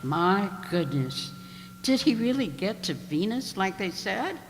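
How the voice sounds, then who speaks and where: A woman's voice: mid-range voice, big pitch swings, measured pace, slight background noise. A woman playing a chatty, gossipy grocery cashier in an amateur radio play. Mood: chatty, curious. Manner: gossipy, inquisitive, repeats the orders back. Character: chatty, nosy female grocery store cashier. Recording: echoey hall, long reverb.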